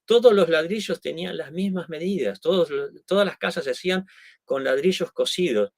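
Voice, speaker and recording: A man's voice: fairly high pitch, fairly quick, no room sound, studio quality. A middle-aged man from Argentina with an Argentine Spanish accent, explaining things in conversation with many restarts and tag questions. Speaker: guest.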